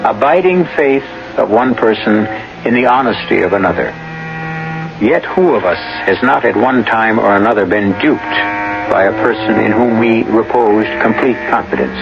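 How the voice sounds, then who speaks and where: A male host introduces a radio mystery play in a medium-pitched voice. His delivery is solemn and rhetorical, with deliberate phrasing, on a muffled old broadcast with clear background noise. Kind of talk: scripted radio drama introduction.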